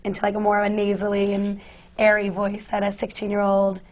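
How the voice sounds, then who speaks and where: A woman speaking as an actress on a panel, with a low, husky, raspy voice. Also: monotone, a little fast. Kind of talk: panel discussion.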